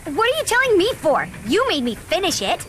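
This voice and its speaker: Brainy girl bandicoot, very high voice, girlish, exclamatory, cartoon game, clear noise behind. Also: wildly swooping pitch, brisk, a bit bright, full character voice. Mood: dismayed. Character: perky, brainy young girl bandicoot, plucky little sister. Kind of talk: cartoon video game acting.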